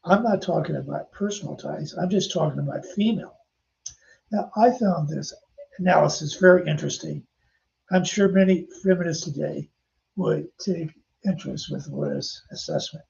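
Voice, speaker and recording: A man's voice: fairly high pitch, normal speed, vocal fry, a little mellow, muffled recording. An older man hosting a podcast, telling chatty anecdotes and speaking directly to his listeners. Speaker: podcast host and author. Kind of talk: casual storytelling narration.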